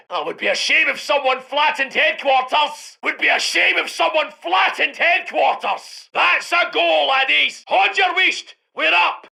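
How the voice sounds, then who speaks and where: Shouting, gravelly Scottish tank commander. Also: male voice, extremely high pitch, exaggerated voice. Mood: wry, confident, then eager, ready. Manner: commanding, salty, colourful slang. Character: gruff, cocky British heavy tank commander barking orders. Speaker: video game voice actor. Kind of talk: shouted military unit voice lines.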